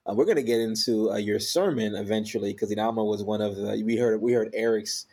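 A man hosting a faith podcast, speaking in a relaxed, casual way with frequent fillers. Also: fairly low pitch, fast. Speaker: podcast host.

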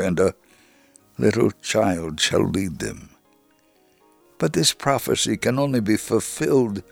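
Guy reading a faith testimony, low voice, really slow and measured, earnest, dry sound. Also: no echo. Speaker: reader of a devotional testimony. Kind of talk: scripted first-person narration.

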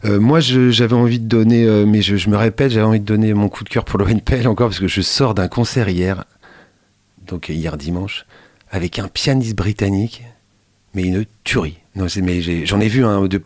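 A man's voice: lowish voice, normal speed. Rambling male radio host. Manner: rambling, many fillers and restarts. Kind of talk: casual radio chat.

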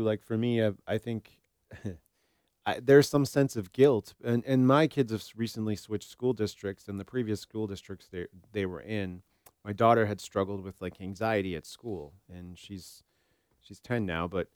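Smooth low-voiced American man, casual podcast talk. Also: darkish tone, dry recording, clean studio sound. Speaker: podcast co-host and therapist.

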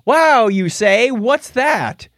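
A man's voice: very high voice, lively intonation, very slow, gravelly, fairly bright tone, dry recording, clean studio sound. A man hosting a podcast, chatting casually through news items and explaining them in a wry, jokey way. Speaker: podcast host. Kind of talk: casual news chat with banter.

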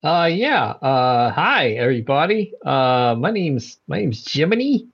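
A man's voice: medium pitch, very animated, unhurried, slightly rough, muffled recording, heavily acted. A man playing a friendly ghost who died in the eighties, rambling and easily amazed. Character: naive male ghost from the eighties, cheerful.

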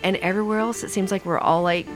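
A woman's voice: medium pitch, fairly even intonation, fast talker, over music. A woman guest on a casual podcast, speaking thoughtfully and hesitantly, with many "like"s.

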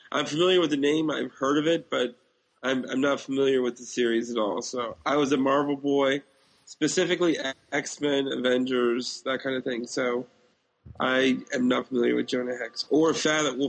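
A man's voice: mid-range voice, rough recording. Blunt American man with a cold. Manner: blunt, slangy. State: has a cold. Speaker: podcast co-host. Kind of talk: casual chat.

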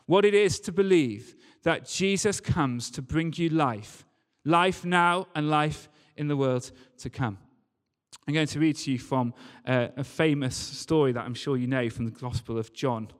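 A man's voice: fairly high pitch, a little slow. A man giving a sermon in a conversational, storytelling way, with emphatic repetition, recorded live in a large church hall. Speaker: preacher. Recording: live talk in a reverberant hall.

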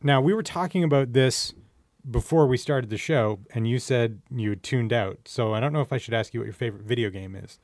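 A man hosting a podcast, putting casual quick-fire questions to a guest in a playful, teasing way. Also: mid-range voice, darkish tone, dry recording. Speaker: podcast host.

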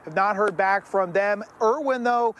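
A male news reporter with a notably high voice reads a scripted report in a measured way. There is faint noise behind him. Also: a bit bright.

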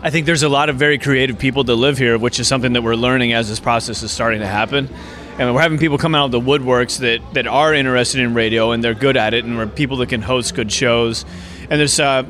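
Mid-pitched man with music behind him. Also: fast talker, fairly bright tone. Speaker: community radio station member being interviewed. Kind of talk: casual interview answers. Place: inside a brewery restaurant.

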